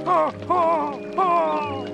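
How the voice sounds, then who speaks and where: A man's voice: very high voice, steady tone, drawn-out words, gravelly, exaggerated voice. A man voicing a fussy, learned toy-animal sidekick in a cartoon, flustered and repeating his words. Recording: music behind the voice.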